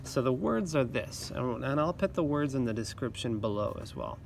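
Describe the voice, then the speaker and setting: A man's voice: mid-range voice, expressive, normal speed. A man in his thirties talking to students as a music teacher on an instructional video, explaining simply and encouragingly, recorded outdoors. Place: outdoors in a leafy yard. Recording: outdoor recording with clear ambient noise.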